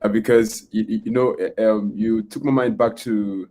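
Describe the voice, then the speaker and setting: A man's voice: lowish voice, fairly even intonation, normal speed, warm tone, a little hiss or hum. A man hosting an interview podcast, asking long rambling questions full of fillers and tag questions. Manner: rambling, filler-heavy questions with frequent "right?". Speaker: podcast host. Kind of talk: casual interview talk.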